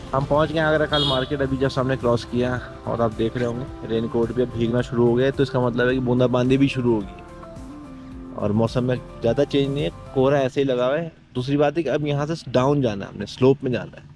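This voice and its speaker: Man vlogging over music. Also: medium pitch. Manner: narrates surroundings while riding, addressing viewers. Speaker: travel motovlogger. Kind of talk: casual vlog commentary. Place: outdoors on a motorcycle on mountain roads. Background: music and vehicle sound.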